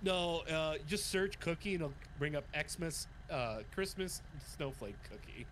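Excitable man on a noisy mic. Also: fairly high pitch, a little slow. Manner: excited, laughs a lot. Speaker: gaming friend. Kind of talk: casual chat over voice chat.

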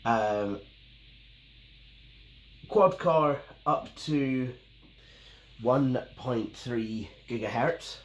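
A Scottish man in his thirties, nasal and hoarse from a cold, chatting casually as a tech host, rambling with many ums. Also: medium pitch, very slow, long pauses. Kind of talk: casual unboxing and review. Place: small home office, indoors. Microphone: camera mic at the desk. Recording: roomy, faintly noisy home recording.

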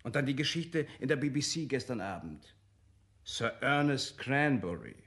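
Redseliger, lebhaft betonender Gentleman. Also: medium pitch, big pitch swings, normal speed. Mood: eager, chatty. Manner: emphatic, theatrical, chatty. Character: chatty, opinionated gentleman, excitable amateur sleuth. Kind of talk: German radio crime drama acting.

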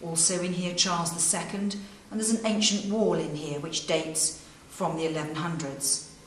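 A woman's voice: on the low side, fairly even intonation, normal speed, recorded from a distance, a little hiss or hum. A middle-aged woman guiding visitors around a castle hall, speaking earnestly and emphatically to the camera. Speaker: castle guide. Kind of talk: guided tour talk to camera. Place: castle great hall, indoors.

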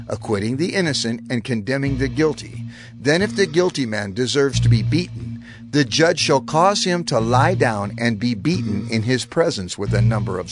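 Solemn lawgiver prophet, animated delivery, over music. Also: male voice, mid-range voice. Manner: declamatory, deliberate, authoritative. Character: solemn male lawgiver prophet addressing his people. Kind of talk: dramatized scripture narration. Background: background music.

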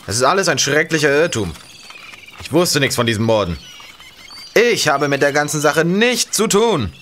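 A man's voice: a little high, expressive, normal speed, a bit bright, slight background noise. An actor playing a panicked condemned man in an audio drama, desperately begging for his life. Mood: desperate pleading. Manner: pleading, desperate.

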